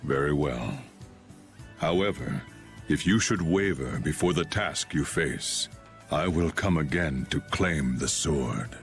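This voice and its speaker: Stern aloof swordsman, really low voice, formal and imperious, anime game w/ music under. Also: lively intonation, slow pace, heavily acted. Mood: stern, solemn. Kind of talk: anime-style game voice acting.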